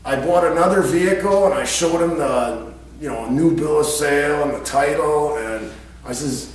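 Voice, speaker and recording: Casual American male storyteller, higher-pitched, recorded at a distance in a very reverberant room. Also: a little slow. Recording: echoey room, distant mic, faint hum.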